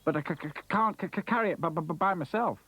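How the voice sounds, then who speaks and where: A man's voice: sits high, rapid-fire, sharp tone, breathy edge, no room sound, voice pushed up, full character voice. A man voicing a timid male toy with a heavy stammer. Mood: eager.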